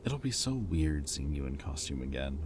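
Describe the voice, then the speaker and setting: Very deep-voiced wry ex-villain played by a man. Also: very animated, moderate pace, noisy background. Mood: playful, eager. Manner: casual, wry, teasing banter with sighs and chuckles. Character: teasing, caring male ex-villain planning with old hero friend. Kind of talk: intimate roleplay audio drama, conversational.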